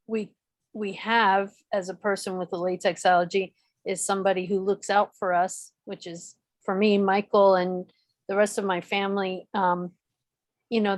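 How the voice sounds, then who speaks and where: A woman and mother talking on a discussion panel, measured and calm, pausing between short phrases. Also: medium pitch, no room sound.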